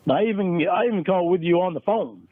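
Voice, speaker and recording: An accusing man repeats his questions over and over, tripping on his words as he presses his point. His voice is fairly high for a man and comes through a thin, tinny phone line. The sound is dry, with no room around it. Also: lively intonation, fairly quick, no room sound. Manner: repetitive, accusing, stumbling. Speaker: caller in a recorded phone argument. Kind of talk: heated phone argument.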